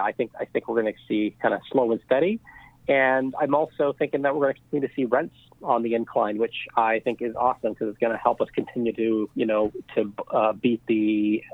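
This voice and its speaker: A man's voice: mid-range voice, gravelly, no room sound. Man on a tinny phone line. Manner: rambling, many fillers and restarts. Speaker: podcast guest, real estate investor. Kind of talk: casual phone interview.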